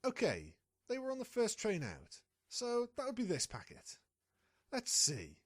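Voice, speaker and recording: A woman's voice: fairly high pitch, wildly swooping pitch, unhurried, breathy edge, full character voice. A woman playing a sly, scheming pony reporter in an audio drama, confident and impatient with a partner. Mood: searching. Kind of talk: fan audio drama acting.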